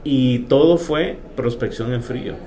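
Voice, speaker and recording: A man, an insurance agent speaking as a guest, earnest and hesitant with many pauses. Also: mid-range voice, warm tone. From Honduras. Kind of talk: casual interview. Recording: faint background noise.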